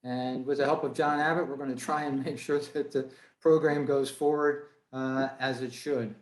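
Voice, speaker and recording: A man hosting an online meeting, giving an informal presentation in a measured, halting way over a video call. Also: mid-range voice, little pitch movement, a little hiss or hum. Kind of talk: informal spoken presentation from notes. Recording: roomy, echoey video-call audio.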